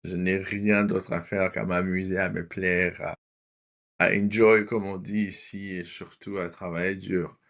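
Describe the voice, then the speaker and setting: A man's voice: low voice, level tone, unhurried, fairly bright tone. A man in his thirties from Congo with a Congolese French accent and Lingala as his first language, a podcast guest speaking hesitantly with many fillers and English words mixed in. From Democratic Republic of the Congo. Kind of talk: casual interview talk.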